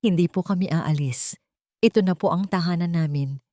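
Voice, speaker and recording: A woman's voice: lowish voice, moderate pace, mellow, no echo, lightly acted. A woman with a Filipino accent voicing a polite but firm, protective mother in a narrated story. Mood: defensive, firm.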